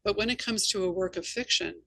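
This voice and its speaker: A woman hosting a casual author conversation, speaking in articulate, measured phrases. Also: mid-range voice, vocal fry, bright, forceful tone, dry recording. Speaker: podcast host, novelist.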